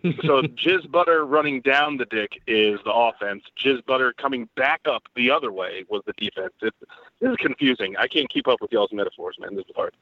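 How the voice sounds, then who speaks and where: A man's voice: medium pitch, moderate pace, dry recording. A man in casual sports banter as a guest journalist, crude and joking with a mock-analytical manner. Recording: muffled phone-like line, dry.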